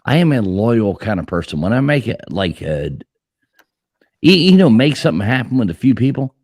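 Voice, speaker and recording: A man's voice: medium pitch, sing-song, moderate pace, a little mellow, no room sound, clean studio sound. A man joining a livestream panel as a guest, talking emphatically and swearing freely. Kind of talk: casual chat.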